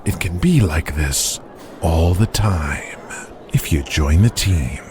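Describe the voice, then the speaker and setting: Audio drama promoter, played by a man: deep, polite, deliberate salesman, always courting talent. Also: background noise. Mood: persuasive. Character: smooth, persuasive male wrestling promoter, courting a star. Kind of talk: audio drama character acting.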